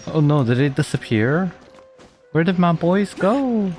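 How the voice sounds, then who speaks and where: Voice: mid-range voice, lively intonation, measured pace, darkish tone. Chatty, informal game streamer. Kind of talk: casual gameplay commentary. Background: game music.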